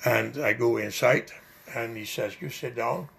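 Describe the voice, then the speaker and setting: Gravelly older man telling a memory. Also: medium pitch, unhurried, a bit bright. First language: not English. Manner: rambling, vivid recollection with fillers. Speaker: interviewee. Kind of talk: oral history storytelling.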